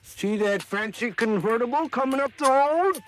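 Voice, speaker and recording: An actor playing a folksy, loyal country character with a hesitant, homespun rural Southwestern American drawl in old radio drama. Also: male voice, very high voice, expressive, moderate pace. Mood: folksy, helpful. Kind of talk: 1950s radio western-flavored acting. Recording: faintly noisy vintage radio recording.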